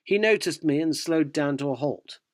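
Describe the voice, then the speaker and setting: A man's voice: fairly high pitch, normal speed, no room sound, studio quality, close to an everyday voice. A man playing a cheeky, cynical yuppie journalist who narrates a radio drama in a wry, provocative way. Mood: wry narrating.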